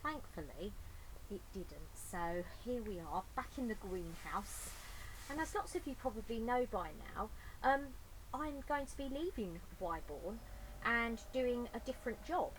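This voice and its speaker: A woman's voice: fairly high pitch, lively intonation, unhurried, low-quality recording. A woman speaking informally and expressively as a storyteller for children, recorded inside a greenhouse. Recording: clear ambient background noise.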